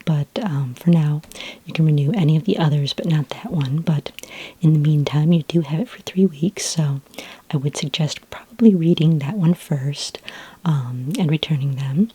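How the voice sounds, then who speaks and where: Breathy, low-pitched whispering ASMR woman. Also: no echo. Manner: gentle, helpful, hesitant. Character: friendly, patient futuristic library librarian. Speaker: ASMR roleplay performer. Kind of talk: soft-spoken ASMR roleplay. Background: computer keyboard typing.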